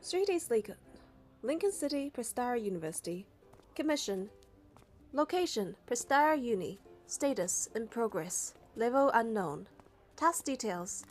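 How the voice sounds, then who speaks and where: A woman's voice: fairly high pitch, big pitch swings, a little slow. A woman reading scripted story narration and dialogue aloud for a game playthrough. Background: background music.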